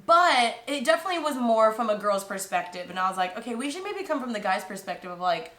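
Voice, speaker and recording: A woman's voice: fairly high pitch, big pitch swings, fast talker, sharp tone, reverberant. Bubbly, slangy woman podcast host. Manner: bubbly, slangy, laughs often. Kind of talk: casual podcast chat.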